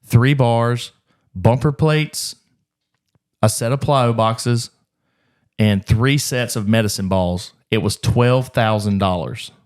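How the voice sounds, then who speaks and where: Guy running a gym podcast, mid-pitch voice, talks fast and rambly, swears a bit. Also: darkish tone. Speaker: gym owner, podcast host. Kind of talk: casual podcast storytelling.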